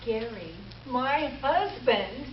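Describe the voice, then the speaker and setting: Woman playing an adoring high-voiced bride, far off. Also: animated, slow pace. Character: adoring, sweet young bride in a Western spoof. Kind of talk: old-time radio comedy acting. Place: live stage show before an audience. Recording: distant, muffled, roomy stage with noise.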